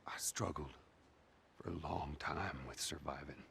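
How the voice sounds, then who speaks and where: An actor playing a gruff, weary middle-aged male survivor in a game cutscene, speaking tersely and guardedly. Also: a bass voice, fairly even intonation, measured pace, bright, forceful tone, slight background noise, exaggerated voice. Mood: hushed. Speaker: game character.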